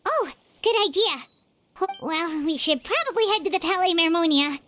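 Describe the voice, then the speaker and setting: A woman voicing a small childlike fairy companion in a video game, squeaky, earnest and anxious, with emotive delivery. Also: lively intonation, normal speed, sharp tone, full character voice. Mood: worried, thinking. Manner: refers to self in third person, emotive. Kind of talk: video game character acting.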